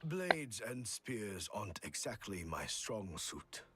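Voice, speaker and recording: An actor playing a calm, courteous old martial artist in a video game, speaking in a formal, measured way. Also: male voice, fairly low pitch, exaggerated voice. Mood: wry, polite. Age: older. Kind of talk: scripted game voice acting.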